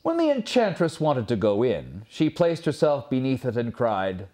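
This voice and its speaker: A man reading a fairy tale as a storyteller, in a dramatic, expressive scripted narration with pauses. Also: mid-range voice.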